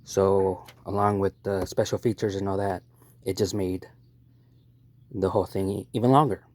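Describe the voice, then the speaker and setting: A man's voice: low-pitched, fairly even intonation, normal speed, a little mellow. A man talking casually to viewers as a video host, close to the mic.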